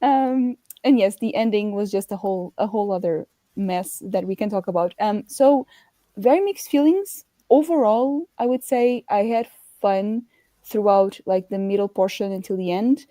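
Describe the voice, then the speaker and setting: A woman's voice: medium pitch, moderate pace, dark tone, no room sound. A woman co-hosting a casual book discussion, speaking in a tentative, hedging way.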